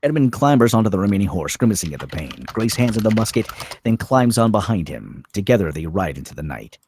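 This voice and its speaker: A man reading screenplay directions as a dramatic narrator, in clipped, suspenseful phrases with pauses for effect. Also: on the low side, very animated, a little mellow, slight background noise. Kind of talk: dramatic scripted narration at a table read.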